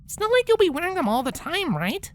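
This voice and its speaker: A woman's voice: extremely high pitch, very animated, fast talker. A woman voicing a polite, bookish young female cartoon pony princess, speaking with patient, pedantic precision. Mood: reassuring. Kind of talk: character acting in a fan-fiction story reading.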